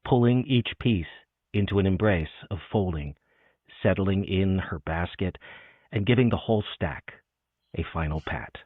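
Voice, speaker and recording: A man's voice: sits low, measured pace. A man hosting a literary interview, chatting about poetry and reading it aloud. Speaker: interviewer and host. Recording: echoey, band-limited phone-like sound.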